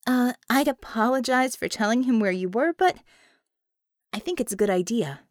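Woman voicing eager, high-pitched female tech aide. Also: animated, moderate pace, no room sound, clean studio sound, natural voice. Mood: apologetic, eager.

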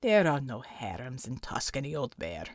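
Gruff Russian male soldier voiced by a woman, deadpan, growling, pitched well down, for audiobook action. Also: normal speed, no room sound, clean studio sound. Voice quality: low growl.

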